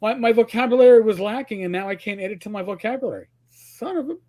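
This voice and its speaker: A man's voice: sits high, fast, warm tone. A man from Queens with a New York accent, hosting a casual livestream chat, rambling, swearing freely and stumbling over repeated words. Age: older. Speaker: host.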